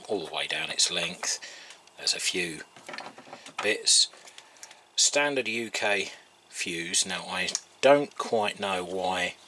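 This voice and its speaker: A man's voice: low voice, bright, forceful tone, slight background noise. A man giving an unscripted, hands-on workbench narration as a hobbyist, hesitantly thinking aloud. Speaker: hobbyist radio restorer.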